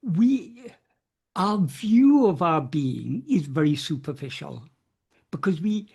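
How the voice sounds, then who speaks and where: A man's voice: a little high, creaky, darkish tone, husky. A man giving an unscripted philosophical talk as a spiritual teacher in an online meeting, earnestly restarting and repeating phrases and leaning on key words. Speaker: spiritual teacher answering questions.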